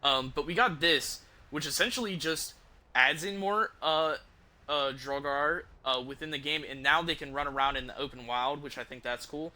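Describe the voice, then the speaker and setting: A man talking casually on a game livestream, rambling in an informal, enthusiastic and halting way. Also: a little high, bright, forceful tone. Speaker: game streamer.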